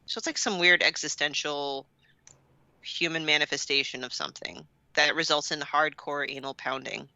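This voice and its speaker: A woman co-hosting a comedy podcast, chatting casually in a playful, animated way. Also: low voice, normal speed, dry recording, lo-fi sound. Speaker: podcast co-host.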